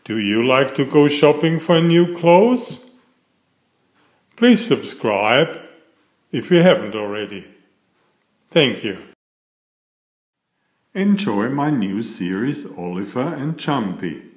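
A middle-aged man reading aloud to young children in a simple storytelling style, with clearly phrased delivery. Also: medium pitch, big pitch swings, takes it slowly, pauses often. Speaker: children's book reader. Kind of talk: read-aloud bedtime storytelling. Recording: roomy, echoey voice recording.

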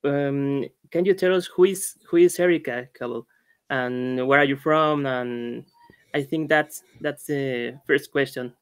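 A man co-hosting a casual interview over a video call. His voice is smooth and clear at an ordinary pitch. He jumps in with quick, friendly agreements and short asides. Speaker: podcast co-host.

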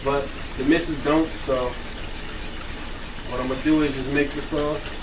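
A man's voice: fairly high pitch, little pitch movement, measured pace. A man hosting a casual home cooking demonstration in a kitchen, talking informally to viewers. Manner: informal, addresses viewers as y'all. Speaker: home cooking show host. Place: home kitchen beside sizzling fryer. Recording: distant mic, loud frying kitchen noise. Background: frying food sizzle.

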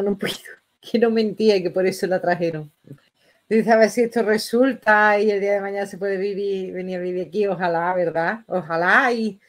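A middle-aged woman with a Spanish accent from Spain, giving casual reaction commentary in an expressive, exclamatory way. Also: medium pitch. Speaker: reaction video host. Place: small bedroom indoors.

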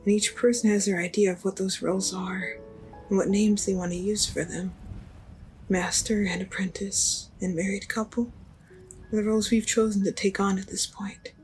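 Woman acting a gentle, thoughtful young apprentice, music behind. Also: medium pitch, little pitch movement, moderate pace, close to an everyday voice, poor audio. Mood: reflective. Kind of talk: anime fandub acting. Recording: music under the voice.

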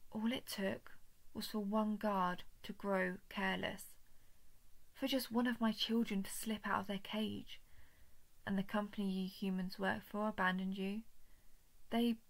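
A creaky-voiced alien parasite queen, acted by a woman, speaks in a sci-fi audio drama. She is patient, smug and possessive, taunting slowly and intimately, often sinking into breathy whispers. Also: medium pitch. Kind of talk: scripted roleplay audio drama, intimate monologue.

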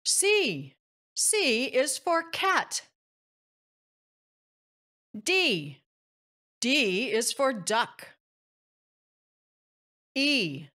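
A woman narrating a picture book for very young children, addressing them directly and pronouncing things deliberately. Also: a little high, bright, forceful tone. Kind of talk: children's read-aloud, addressing young listeners.

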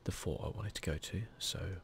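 A man giving soft, near-whispered ASMR commentary as he hosts a gaming video, in a casual, muttering, self-deprecating way. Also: brisk.

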